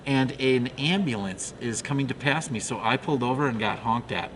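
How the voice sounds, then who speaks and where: Man, interview host, chatty storyteller, lively swinging pitch, crowd murmur behind. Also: a little fast, poor audio. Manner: talkative, animated storyteller. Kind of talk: casual interview chat.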